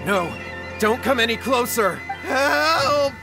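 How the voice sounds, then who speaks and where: A man's voice: extremely high pitch, lively intonation, takes it slowly, gravelly, over music, heavily acted, low-quality recording. A man voicing a timid, scheming young man in a video game, with a flustered, stammering, pleading manner. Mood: terrified. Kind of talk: scripted video game voice acting.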